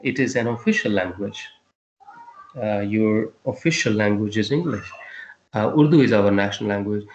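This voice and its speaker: Male teacher, low voice, halting classroom delivery, echoey room. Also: muffled recording, slight background noise. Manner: halting, many fillers and restarts, quizzes students. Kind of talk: classroom lesson with questions.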